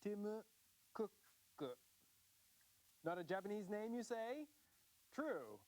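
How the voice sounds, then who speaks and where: An American man hosting a language course, presenting in an upbeat scripted way with slow, careful enunciation. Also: sits high, sing-song, a little mellow. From United States.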